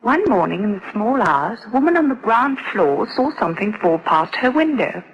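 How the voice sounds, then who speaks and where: An actress playing a proper, confiding adoption official in a radio drama, formal, deliberate and well-spoken. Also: female voice, medium pitch, sing-song, muffled recording. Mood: grim.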